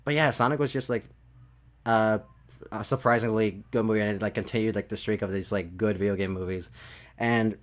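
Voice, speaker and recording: A man's voice: on the low side, steady tone, brisk. A man chatting casually about movies as a podcast co-host, with mild swearing sprinkled in.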